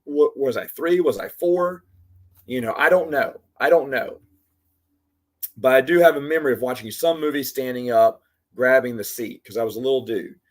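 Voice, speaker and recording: Host, male, 40s, voice going hoarse, rambling and chatty, mid-pitched. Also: moderate pace. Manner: rambling, chatty, talks to commenters by name. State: losing his voice. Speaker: livestream host. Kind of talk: casual live Q&A chat.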